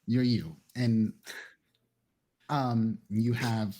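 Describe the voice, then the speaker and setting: A man's voice: mid-range voice, steady tone, drawn-out words, mellow. A man playing a tabletop roleplaying game, improvising dialogue and narrating his character's actions in a casual, chatty way.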